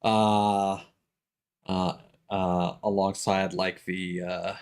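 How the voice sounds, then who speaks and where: A man's voice: low-pitched, little pitch movement, drawn-out words, darkish tone, clean tone. A man working as a game streamer, chatting casually and explaining things in an informal way with some swearing. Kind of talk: casual livestream chat.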